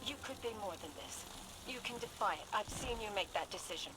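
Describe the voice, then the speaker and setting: A woman's voice: medium pitch, animated, a little fast, natural voice. Noisy ambience surrounds a woman acting a sci-fi fighter in a video game. She is earnest and compassionate, with a mid-range, conversational sincerity. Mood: encouraging. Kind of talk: scripted video game dialogue.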